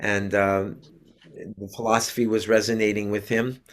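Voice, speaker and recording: An older man, a devotee preacher and host, addressing a religious gathering in a deliberate, earnest speaking style. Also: lowish voice, level tone. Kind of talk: read-out sermon, then informal introduction.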